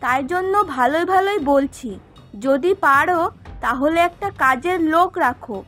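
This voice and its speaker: A woman's voice: high-pitched, normal speed, fairly bright tone, faint music behind. A woman voicing a spoiled young wife in a cartoon, sulky and demanding, with a complaining, sarcastic way of talking. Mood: nagging complaint. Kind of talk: Bengali cartoon voice acting.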